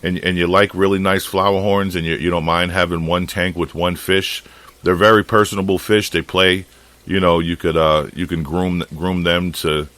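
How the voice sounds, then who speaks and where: A man's voice: low-pitched, little pitch movement, moderate pace, fairly bright tone, dry recording. An American man talking casually on a phone call, explaining things in an informal, rambling way. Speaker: fish shop owner and podcast host.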